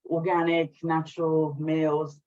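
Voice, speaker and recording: A woman from Sri Lanka, raised in France, talking conversationally as an interview guest on a video call, with a hesitant delivery that often pauses to regroup. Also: deep voice, completely flat delivery, darkish tone, muffled recording. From Sri Lanka, raised in France from age eight. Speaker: guest, small business owner. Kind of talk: conversational interview, telling own story and showing products.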